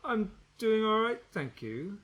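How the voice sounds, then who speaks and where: A man voicing a shy new schoolboy who speaks quietly. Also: sits high, sing-song, slow, dull audio, voice pushed up, heavily acted. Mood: timid. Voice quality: quiet, timid.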